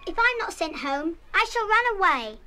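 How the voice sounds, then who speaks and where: A woman's voice: very high voice, very animated, moderate pace, pushed and bright, heavily acted. An actress playing a headstrong, proud young British schoolgirl in a radio play, with an emphatic, stubborn manner. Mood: defiant. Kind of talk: full-cast radio play acting.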